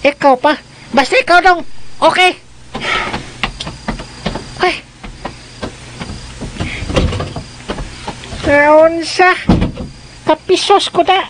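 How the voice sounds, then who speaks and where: A slow-paced, high-pitched radio drama sidekick, jokey and deferential. The character stammers and doubles up words, teasing one moment and backing down the next. Faint noise sits behind the voice. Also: female voice. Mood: sheepish.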